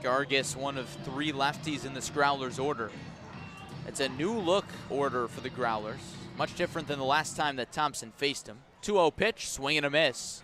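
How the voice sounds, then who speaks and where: A man calling baseball play-by-play live on the radio, measured in delivery and punchy on the action. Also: medium pitch, over music. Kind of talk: live radio sports commentary. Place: outdoor ballpark.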